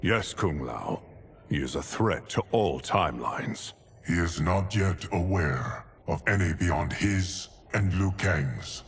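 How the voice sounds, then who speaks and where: A grave, deep-voiced warrior god. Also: male voice, lively intonation, slow pace, slight background noise, exaggerated voice. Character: solemn male fire god warrior, weary of endless battle. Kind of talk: video game cutscene acting. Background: game score.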